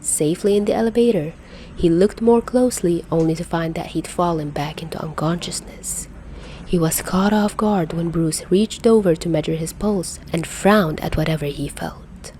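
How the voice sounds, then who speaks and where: A woman's voice: fairly low pitch, normal speed, warm tone, slight background noise. A woman reading fan fiction aloud, telling the story expressively into a close home mic. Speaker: podfic reader.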